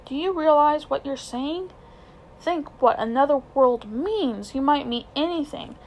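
A woman's voice: high-pitched, unhurried, a little hiss or hum, pitched above the performer's own voice. A woman voicing a pompous, scheming elderly male magician with a haughty, lecturing manner in a storybook reading. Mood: indignant. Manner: haughty, lecturing, self-important.

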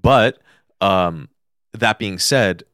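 A man's voice: on the low side, very slow, a bit bright, no room sound, studio quality. A man co-hosting a casual conversation, inquisitive and given to rephrasing things as he works through them. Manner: inquisitive, summarizes and rephrases what he hears. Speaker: podcast co-host asking questions.

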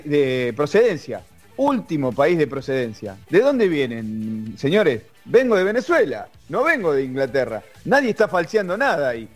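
A man with an Argentine accent talking sports in casual chat, emphatic and explanatory, often restarting words. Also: a little high. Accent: Argentine Spanish.

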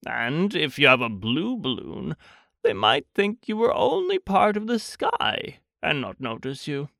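A narrator voicing a slow, earnest, anxious male toy bear who muses hesitantly in a children's storybook. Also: medium pitch, wildly swooping pitch, gravelly, a bit bright, dry recording, studio quality, full character voice. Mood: pondering, earnest. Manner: hesitant, deliberate, drawn-out musing.

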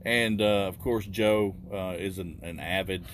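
A man's voice: sits low, fairly even intonation, a little slow, a little hiss or hum. A man in his fifties with a slight Southern US accent, co-hosting a casual podcast and telling stories with easy chuckles. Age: 50s. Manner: easygoing, chuckling storyteller. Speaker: podcast co-host. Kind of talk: casual chat.

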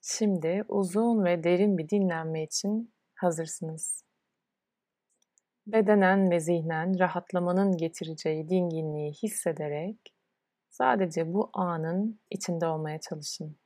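A woman leading a guided relaxation as a yoga nidra instructor, speaking in a soothing, unhurried way with pauses between instructions. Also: on the low side, mellow, no room sound.

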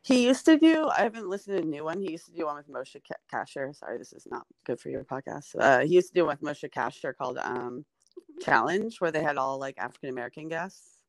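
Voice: mid-range voice, animated, quick pace, no room sound. A comedian in their forties, guesting on a podcast in casual, rambling chat, full of fillers. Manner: rambling, filler-heavy, chatty.

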